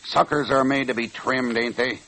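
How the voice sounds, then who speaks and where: An actor playing a smug, cold-blooded gunman henchman with a gravelly voice in an old Western radio drama. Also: medium pitch, steady tone, moderate pace, sharp tone. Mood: coldly insolent. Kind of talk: 1940s Western radio acting. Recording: noisy old radio transcription.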